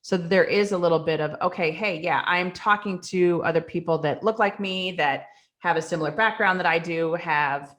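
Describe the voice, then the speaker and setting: A woman's voice: lowish voice, normal speed, a bit bright. A woman co-hosting a podcast who explains points with examples and moves the talk from topic to topic. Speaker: podcast co-host. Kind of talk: casual conversation.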